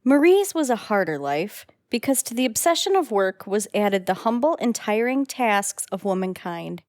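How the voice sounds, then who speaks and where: A woman's voice: mid-range voice, sing-song, moderate pace, darkish tone. Woman, scripted narration, old-time radio drama style, middle-range voice.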